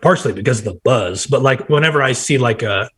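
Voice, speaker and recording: A man in his thirties from Nebraska with a nasal, hoarse voice, chatting casually as a podcast guest and telling rambling stories. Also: mid-range voice, quick pace, fairly bright tone. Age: 30s. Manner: rambling, quotes himself in bursts. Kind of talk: casual chat.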